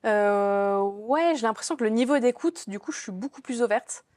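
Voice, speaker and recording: A woman's voice: fairly high pitch, moderate pace, darkish tone, dry recording. A young woman student answering interview questions casually, with lots of fillers and restarts. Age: young adult. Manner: chatty, many fillers and restarts. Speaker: business school student.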